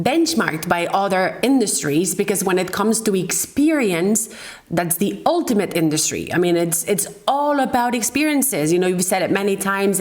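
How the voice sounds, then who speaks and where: A woman's voice: medium pitch, darkish tone. A woman hosting a conversational interview, responding to her guest in an engaged, agreeing way. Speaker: interview host.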